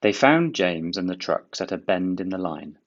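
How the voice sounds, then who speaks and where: A man reading a children's book aloud in expressive storybook narration. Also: deep voice, moderate pace, muffled recording.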